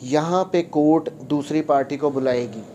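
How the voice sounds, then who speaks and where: Mellow-voiced Pakistani man lecturing on law. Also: a little high, normal speed, slight background noise. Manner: didactic, repeats points in other words; mixes in English legal terms. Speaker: advocate presenting a law lecture. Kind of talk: explanatory legal lecture.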